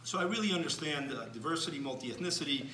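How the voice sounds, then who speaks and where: A Cuban-born man speaks in a bright, forward tone, laying out his points slowly with frequent restarts and fillers. He sounds far from the microphone in a reverberant room. A faint ambient hiss sits beneath the voice. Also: a little high, expressive. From Cuba. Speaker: speaker giving a talk. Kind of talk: lecture to an audience.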